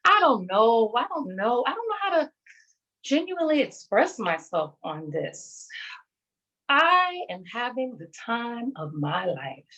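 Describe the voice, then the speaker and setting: A woman's voice: on the high side, very animated, unhurried, fairly bright tone, lo-fi sound, full character voice. A woman playing a giddy, gushing, dramatic character in a lockdown stage monologue, speaking emphatically. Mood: gushing, exasperated.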